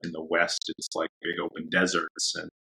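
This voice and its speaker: A man, a dog trainer, chatting casually as a podcast guest in a relaxed, rambling way, laughing often. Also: low voice, moderate pace, vocal fry, husky, rough recording. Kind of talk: casual interview chat.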